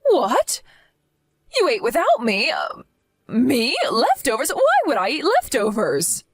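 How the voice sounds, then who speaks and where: A falsely sweet, scheming mother-in-law, as a woman puts her on, gushing in a high, swooping voice. She speaks in a syrupy, theatrical way. The sound is dry and close. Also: very animated, normal speed, no room sound. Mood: indignant. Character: sugary scheming middle-aged mother-in-law.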